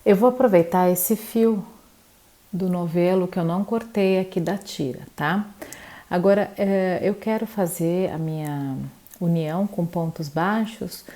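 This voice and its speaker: A woman's voice: lowish voice, measured pace, warm tone. A woman teaching crochet step by step, patiently counting stitches aloud and checking in with her viewers. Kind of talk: step-by-step craft tutorial.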